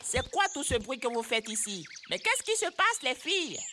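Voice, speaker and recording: A woman's voice: high-pitched, very animated, normal speed, noisy background. A woman voicing a bossy but patient older girl in an educational cartoon, explaining with emphasis. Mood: exasperated. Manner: instructive, emphatic. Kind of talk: French-language educational cartoon dub. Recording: music behind the voice.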